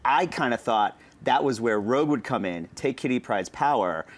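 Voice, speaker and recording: A man's voice: mid-range voice, expressive, moderate pace. A man chatting casually as a panelist on a movie discussion show.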